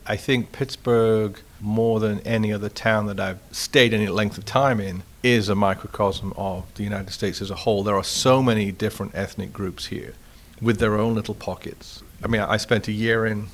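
A man from England with an English accent, telling his own immigration story in a measured, reflective way. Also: lowish voice, steady tone. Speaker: interviewee.

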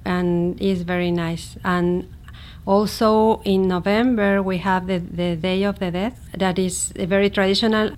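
A middle-aged woman from Mexico City with a Mexican accent, a native Spanish speaker telling her personal story in simple, halting learned English. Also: mid-range voice, fairly even intonation, darkish tone. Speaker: interviewee, immigrant teacher. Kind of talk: personal story told in an interview.

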